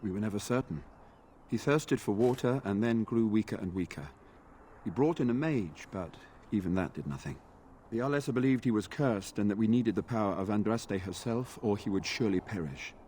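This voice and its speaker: An actor playing an earnest, dutiful knight in a fantasy video game, speaking formally and courteously. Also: male voice, on the low side, expressive, brisk, warm tone, slight background noise, natural voice. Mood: grave, informative. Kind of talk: video game dialogue acting.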